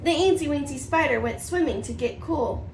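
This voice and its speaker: Female preschool teacher, read-aloud storyteller: high, slightly creaky, sing-song, recorded at a distance in an echoey space. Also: moderate pace. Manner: sing-song, expressive, rhythmic reading. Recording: distant mic, roomy echo, faint noise.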